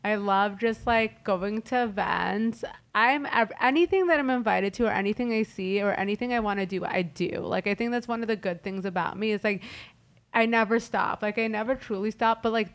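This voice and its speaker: A woman's voice: medium pitch, fairly quick. A young woman from Los Angeles co-hosting a podcast, chatting in a bubbly way with lots of "like" fillers. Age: young adult. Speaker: podcast co-host. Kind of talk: casual podcast chat.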